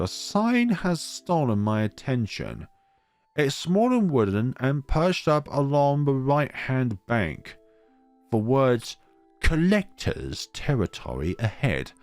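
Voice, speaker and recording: A man reading a visual novel aloud as a let's-play narrator, expressively, with asides of commentary. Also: mid-range voice.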